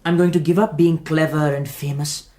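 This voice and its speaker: A woman's voice: deep voice, fairly even intonation, moderate pace, mellow, close to an everyday voice. A woman playing an earnest young general. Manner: earnest, polite, formal. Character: young male Indian general, earnest, naive. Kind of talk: radio drama acting.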